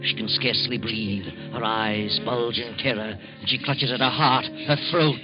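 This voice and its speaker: A man narrating a radio drama in a grave, measured voice. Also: mid-range voice, fairly even intonation. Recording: dull old radio recording with faint music.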